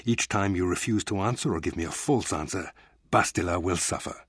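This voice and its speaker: An actor playing a cold, sadistic admiral interrogator in a video game, speaking with formal, deliberate menace. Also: male voice, deep voice, a bit bright. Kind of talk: dramatic video game villain acting.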